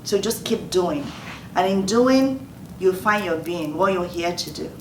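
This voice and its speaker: A woman's voice: on the low side, expressive, unhurried. A woman in her fifties from Nigeria with a Nigerian accent, giving earnest advice in a Q&A and repeating phrases for emphasis. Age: 50s. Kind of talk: motivational Q&A talk. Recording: roomy distant mic, faint noise.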